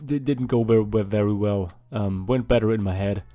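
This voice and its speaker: A young man talks in a loose, rambling way, poking fun at himself as he goes. His voice sits low and sounds soft and mellow. Faint music plays underneath. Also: moderate pace. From Austria. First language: German. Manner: rambling, self-deprecating, chatty. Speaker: artist vlogger. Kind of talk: casual voice-over while drawing.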